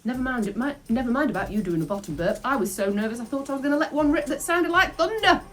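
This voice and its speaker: A woman's voice: sits high, lively intonation, fast talker, fairly bright tone, voice pushed up. A woman voicing a chatty old nanny for a children's storybook, nervous, cheeky and blunt. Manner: chirpy, flustered, blunt.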